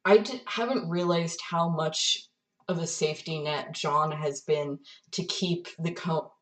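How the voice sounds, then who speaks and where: A woman's voice: deep voice, measured pace. A woman co-hosting a podcast in a conversational way, recorded some distance from the microphone. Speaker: podcast co-host.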